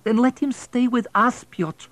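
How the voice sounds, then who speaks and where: A man reading an audiobook, voicing a tender-hearted middle-aged peasant wife who pleads anxiously in a raised, higher voice. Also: measured pace, a bit bright, no echo. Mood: pleading.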